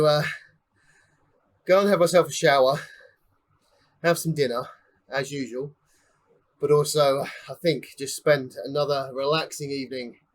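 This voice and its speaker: A young man giving casual live commentary on a cycling race while riding, chatty and slightly out of breath. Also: on the high side. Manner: chatty, calling out finishers one by one. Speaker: ride leader and race commentator.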